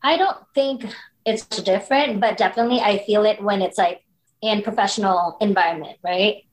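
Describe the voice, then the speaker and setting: A woman's voice: mid-range voice, moderate pace, low-quality recording. Guest, woman in her 30s, candid storyteller, loose chatty phrasing. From Philippines. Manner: candid, storytelling, frequent likes and you knows. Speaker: podcast guest. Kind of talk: casual interview conversation.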